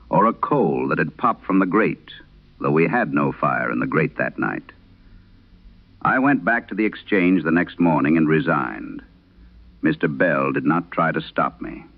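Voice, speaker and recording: A man with a very low voice narrates eerie tales as a late-night horror host. His delivery is solemn, measured and literary. The sound is thin and narrow like an old radio broadcast, with a very dry, close feel. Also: no echo. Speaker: horror audio drama host reading letters. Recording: band-limited, dry vintage radio sound.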